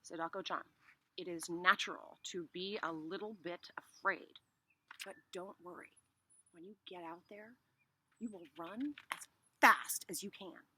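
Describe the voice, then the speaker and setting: A woman voicing a gentle, reassuring mother from a children's story in a soft, soothing manner. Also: sits high, lively intonation, normal speed, few pauses, a little hiss or hum, voice pushed up.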